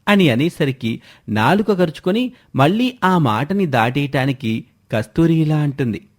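A man's voice: on the high side, animated, a little slow. A man narrating a scripted moral story as the storyteller.

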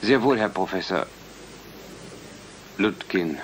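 Radio drama role: bodiless mathematician brain, low-leaning voice, humble, formal, slight noise. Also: male voice, animated, slow pace, low-quality recording. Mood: submissive. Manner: formal, submissive. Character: obedient, quietly proud disembodied mathematician brain, number forty-five. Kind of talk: German radio play acting.

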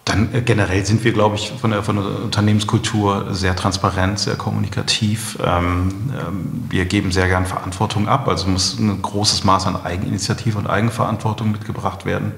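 A middle-aged man answering conversationally as an interview guest, often pausing to search for words. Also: lowish voice, flat delivery, creaky, a bit bright. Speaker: company co-founder, interview guest. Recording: echoey room with long reverb.

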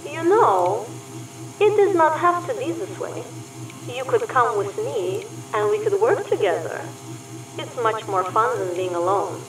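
A woman's voice: on the high side, lively intonation, measured pace. A woman playing a sly, flirtatious ghost spy in an audio drama, with a Russian accent and stilted English. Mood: seductive. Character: seductive, confident Russian female spy ghost. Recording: roomy, distant, faint noise.

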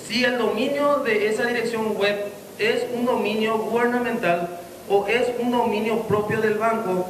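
A man with a Paraguayan accent speaks in a high voice, giving official advice as a police spokesman. His delivery is formal and wordy, like a prepared public statement. He is on a handheld mic in a reverberant conference room with some background noise. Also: fairly even intonation, moderate pace. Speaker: police deputy commissioner, spokesman. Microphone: handheld microphone held close to mouth.

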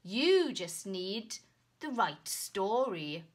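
A keen shopkeeper from a picture book, voiced by a woman. He pitches his wares dramatically and helpfully, stretching words very slowly in a lively, swooping voice. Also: heavily acted. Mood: keen, persuasive. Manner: salesmanlike, dramatic, drawn-out suggestions. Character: keen, helpful male shopkeeper selling story adventures. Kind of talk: storybook character voice.

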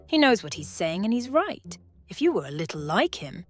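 A woman voicing a temperamental young female unicorn artist in an amateur comic dub, expressive and exclamatory. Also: medium pitch, moderate pace. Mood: concerned.

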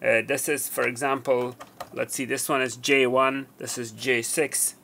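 Gravelly middle-aged man explaining tech. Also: medium pitch, little pitch movement, measured pace, fairly bright tone, a little hiss or hum. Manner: explanatory, repeats and rephrases points. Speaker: tech tutorial presenter. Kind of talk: unscripted product demonstration.

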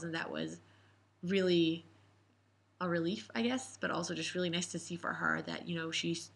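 A woman in her twenties from Israel, a camp coach, calmly telling a story in an interview. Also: lowish voice, vocal fry, slightly rough. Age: 20s. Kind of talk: reflective interview answers. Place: indoor room with posters.

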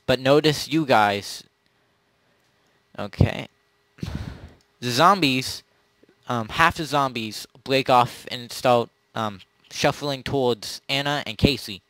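A man running a tabletop zombie role-play as game master, narrating in a halting, improvised way. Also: medium pitch, a bit bright, dry recording. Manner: halting, pieces scenes together as he goes.

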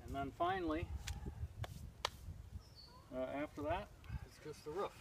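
A man in his thirties talks through his work in a plain, methodical way, pausing often with "uh" as he goes. He is picked up from a camera some distance off in open woods, so his voice sounds far away. Outdoor ambience stays clearly audible behind him. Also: on the high side, huge pitch swings, background noise. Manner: plain, methodical explaining with frequent "uh" pauses. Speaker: beekeeper vlogger. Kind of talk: casual demonstration. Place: outdoors in leafless spring woods. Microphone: far camera on a tripod.

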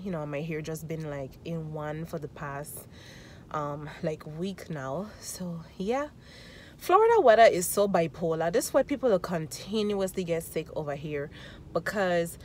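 A woman's voice: fairly low pitch, slight background noise. A woman in her thirties, congested and coughing from a cold, chatting casually and rambling to her phone camera, first at home and then in a parked car. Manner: chatty, rambling, with many fillers. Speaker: vlogger. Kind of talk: casual vlog chat to camera. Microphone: phone camera at arm's length.

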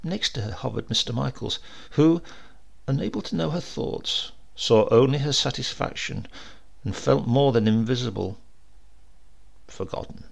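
A man reading a literary supernatural tale aloud in a measured, expressive storytelling manner. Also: medium pitch, creaky. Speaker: story reader.

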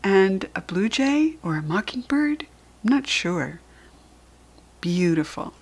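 An American woman reads a children's story aloud at a very leisurely pace. Her voice is medium in pitch, soft and husky with plenty of breath in it. She speaks gently to young listeners, explaining as she goes. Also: sing-song, slow pace. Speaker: children's book reader.

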